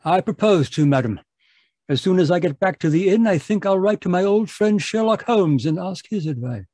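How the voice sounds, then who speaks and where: Bumbling gentleman doctor for a vintage radio mystery male actor: high, husky voice, fussy and proper, dry sound. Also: animated, moderate pace, no room sound. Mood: concerned, polite. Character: genial, rambling, fussy gentleman doctor narrating his adventure. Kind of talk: 1940s radio mystery acting. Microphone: video call. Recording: live performance over video call.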